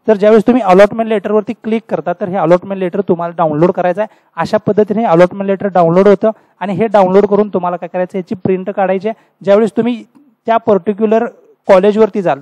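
A man narrates a screen-recorded tutorial in a high, fast voice with a mellow tone. He keeps asking rhetorical questions and repeating each step for students. The recording is dry and close. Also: no echo. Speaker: tutorial host explaining university admission steps.

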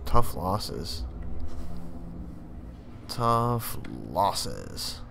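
A man with a lowish voice talks slowly through his strategy game, snapping brief orders at units and cursing when things go wrong. His throat sounds worn, and he clears it often. Steady background noise sits under the recording. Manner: barks quick orders at game units, swears freely. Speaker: let's play host. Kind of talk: casual live gameplay commentary.

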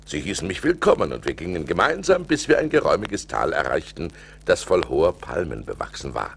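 An actor playing a rich seafaring merchant who recounts his adventures as an expressive storyteller in a radio play. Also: low voice, a little fast, vocal fry, slight background noise, exaggerated voice. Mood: recounting. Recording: vinyl record transfer with music behind.

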